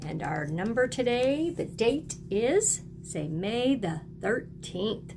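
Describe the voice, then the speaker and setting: A woman's voice: fairly high pitch, big pitch swings, slow, noisy background. A woman teacher leads a read-aloud lesson for young children, encouraging them and prompting them with questions and repeats.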